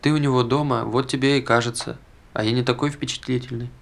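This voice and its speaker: A male actor plays a mild, slightly priggish everyman in a naturalistic stage play. His voice is mid-pitched, with a plain, matter-of-fact, conversational delivery. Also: steady tone, quick pace, vocal fry, natural voice. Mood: calm, dismissive.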